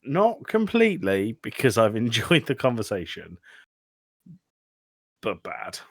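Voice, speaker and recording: Male podcast host, lowish pitch, close dry sound, sweary deadpan humour in loose film chat. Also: a little slow, gravelly, no room sound. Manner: sweary, dryly joking. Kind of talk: casual film review chat.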